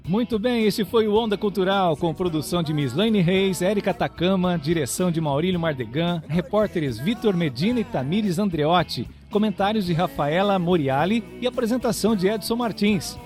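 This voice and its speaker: Man, Brazilian accent, radio host, fairly high voice, conversational delivery, music behind. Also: lively intonation, normal speed, few pauses, darkish tone.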